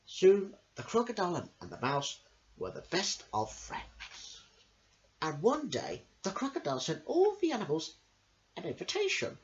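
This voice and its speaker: Animated male storyteller, wildly varied pitch. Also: moderate pace, slight background noise. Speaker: amateur storyteller, channel host. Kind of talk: children's story reading. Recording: dull lo-fi home recording.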